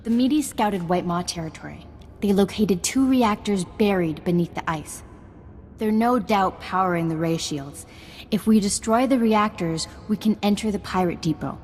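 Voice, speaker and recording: A woman playing a composed, resolute Jedi master in a video game, speaking in a measured, earnest and authoritative way. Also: mid-range voice, a little mellow, a little hiss or hum, close to an everyday voice. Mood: businesslike. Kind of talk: scripted video game acting. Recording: game music behind.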